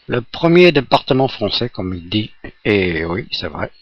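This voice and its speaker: A man with a low voice rambles cheerfully through a casual ham radio chat, pausing and hesitating as he goes. The sound is dull, close and dry. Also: very animated, no echo. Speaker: amateur radio operator filming the contact.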